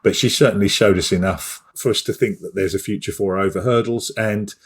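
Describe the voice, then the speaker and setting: A man co-hosting a podcast, croaky from a cough, talking casually with a lot of ums and uhs. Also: fairly low pitch, fairly even intonation, a little fast. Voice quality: husky. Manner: hesitant, many ums and uhs. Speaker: podcast co-host.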